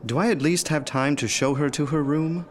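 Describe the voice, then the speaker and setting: A man's voice: fairly high pitch, steady tone, moderate pace, a little hiss or hum, natural voice. A man playing a friendly, cheeky young house servant in a gothic audio drama, chatty and teasing. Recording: music playing behind the voice.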